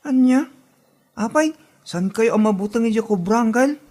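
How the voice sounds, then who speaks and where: A performer playing a curious, excitable young child in a comedy drama. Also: medium pitch, normal speed, lightly acted. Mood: anxious, questioning.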